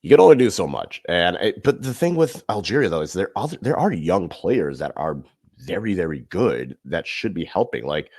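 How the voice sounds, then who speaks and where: A man co-hosting a casual podcast, giving animated, emphatic analysis of football matches. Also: sits low, moderate pace, slightly rough. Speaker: podcast co-host. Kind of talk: casual sports chat.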